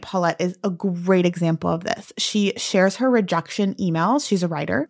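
Female podcast host, medium pitch, emphatic and conversational solo delivery, coaching business owners. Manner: conversational, emphatic, peppered with "like" and "right?". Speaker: podcast host and branding consultant.

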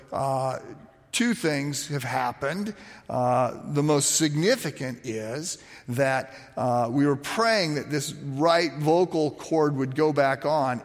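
Male preacher, slightly high voice, raspy when quiet, lively storytelling sermon, long hall reverb. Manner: animated storytelling with frequent restarts and fillers.

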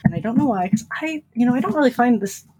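A woman's voice: on the high side, lively intonation, fast, mellow, a little hiss or hum. A giggly, chatty woman co-hosting a book podcast and casually recapping stories.

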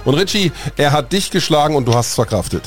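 A man's voice: on the high side, expressive, fast talker, faint music behind. A man hosting a podcast, playful and theatrical in how he introduces and signs off. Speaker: podcast co-host.

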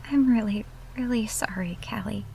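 A woman's voice: medium pitch, normal speed, gravelly, background noise, lightly acted. A woman playing a meek, apologetic teenage girl in an audio drama.

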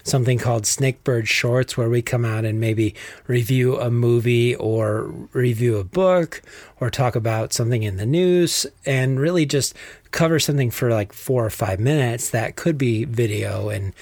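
Male co-host, Texas accent, relaxed rambling chat, dry mic. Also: medium pitch, normal speed, no echo. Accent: Texan. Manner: easygoing, rambling, laughs easily. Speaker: podcast co-host. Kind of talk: casual podcast chat.

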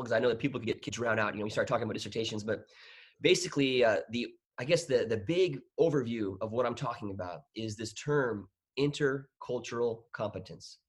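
A man's voice: medium pitch, fairly quick, breathy edge. A man in his thirties who grew up in Thailand, chatting casually as an interview guest, laughing often and restarting his sentences. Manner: laughing, self-deprecating, rambling with restarts.

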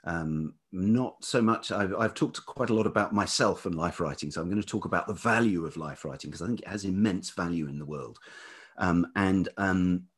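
A man with a velvety voice talking reflectively as an interview guest, thoughtful and halting, often pausing mid-phrase. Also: deep voice, breathy edge. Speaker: podcast guest, writer and life writing teacher.

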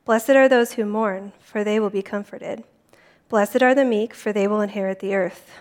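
A woman reading scripture aloud in a calm, careful way. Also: mid-range voice, moderate pace. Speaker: volunteer scripture reader.

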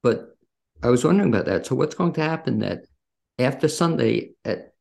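A man with a heavily gravelly, creaky voice at an average pitch hosts an informal interview. He wanders conversationally around each question before he lands it. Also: animated, a little fast, a little mellow. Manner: rambling, circling toward his questions. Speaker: interview host. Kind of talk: casual interview chat.

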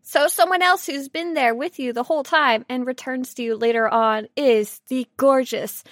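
A woman co-hosting a podcast, chatting casually about game characters in a silly, animated, teasing way. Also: high voice, moderate pace, no echo, clean studio sound. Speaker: podcast co-host.

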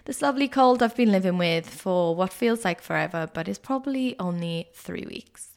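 Young woman podcast host, croaky from a cold, chatty solo delivery. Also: medium pitch, animated. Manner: chatty storytelling, quick asides.

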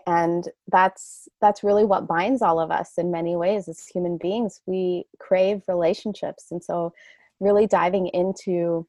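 A woman's voice: lowish voice, a little mellow, no room sound. A middle-aged woman from Canada, a guest on a podcast, speaking earnestly and reflectively in long flowing sentences. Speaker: guest, coach. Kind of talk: podcast interview.

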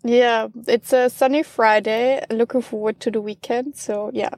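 A woman from Austria, a guest on an interview and an English student. She chats in a relaxed, friendly way and pauses often with fillers. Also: fairly high pitch, no echo, clean studio sound. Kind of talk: casual interview chat.

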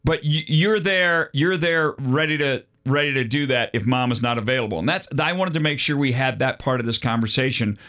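A middle-aged man with a medium-pitched voice talks as a podcast host. His delivery is informal and plain-spoken, like a relaxed chat with a guest. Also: a little fast, hardly any pauses. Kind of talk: casual conversational interview.

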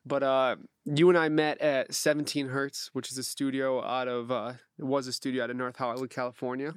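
A young man hosting a podcast, talking in a relaxed, rambling way, swearing casually and laughing often. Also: mid-range voice, a little fast, smooth, no echo, studio quality. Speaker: podcast host, producer.

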